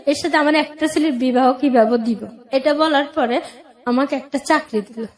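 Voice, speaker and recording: A woman's voice: sits high, normal speed. A woman telling her own life story as an interview guest, plainly, quoting conversations as she goes. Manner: plain-spoken, recounting with acted-out dialogue.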